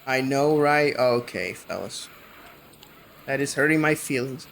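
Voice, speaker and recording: A man's voice: medium pitch, measured pace, gravelly, a bit bright, slight background noise. A male streamer giving casual gameplay commentary and joking back and forth with his chat.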